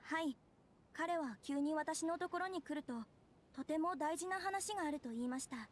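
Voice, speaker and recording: An actress playing a polite, gentle young noblewoman in a video game, speaking formally and humbly. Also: sits high, moderate pace, noisy background, close to an everyday voice. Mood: troubled, hesitant. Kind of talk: Japanese video game voice acting. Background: background music.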